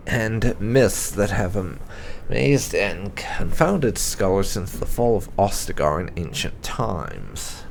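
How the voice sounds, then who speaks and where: A man reading fantasy game documents aloud for a playthrough, in a measured, deliberate and formal storytelling manner. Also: sits low, creaky. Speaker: gaming YouTuber reading in-game texts aloud.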